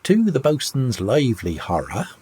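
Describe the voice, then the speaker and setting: A man reading an old sea yarn aloud as a narrator, in an expressive, old-fashioned raconteur's delivery. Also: medium pitch, normal speed, breathy edge. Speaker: audiobook narrator.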